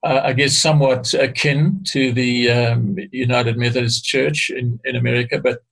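An older man speaks in a gravelly, creaky voice that stays flat and level in pitch. He explains things in a measured, hesitant way, often pausing and starting phrases again, as a guest being interviewed. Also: poor audio. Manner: hesitant, measured, frequent restarts. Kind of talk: explaining history in conversation.

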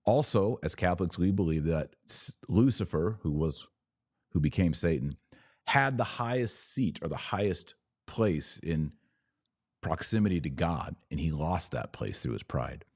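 A man with a deep, mellow voice hosts a religious podcast. He explains things emphatically and didactically, often posing rhetorical questions as he responds to listeners. The sound is dry and close. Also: lively intonation, measured pace, slightly rough, no room sound. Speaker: podcast host. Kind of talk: religious commentary answering live viewer comments.

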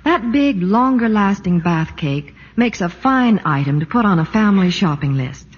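A woman delivers scripted promotional chat as a 1940s radio reporter, gushing with enthusiasm. Her husky voice is very animated, on a muffled old broadcast. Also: medium pitch, moderate pace, long reverb tail. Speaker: Hollywood reporter.

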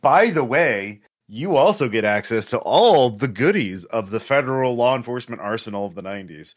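A man's voice: medium pitch, animated, normal speed. A man acting as game master, talking casually and informally as he sets the scene and offers options. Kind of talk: casual tabletop game narration.

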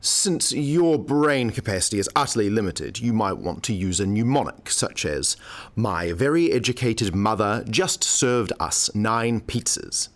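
A man's voice: fairly low pitch, lively intonation, a little slow. A man playing a sardonic, mock-pompous academic colleague in a scripted radio play, given to lecturing. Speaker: supporting actor in a radio play.

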